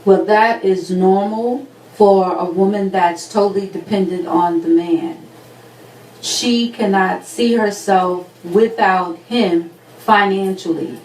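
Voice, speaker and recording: Measured woman giving advice. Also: medium pitch, a little mellow, echoey room, recorded from a distance, low-quality recording. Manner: measured, advising. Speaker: panelist. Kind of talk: advice in a talk show.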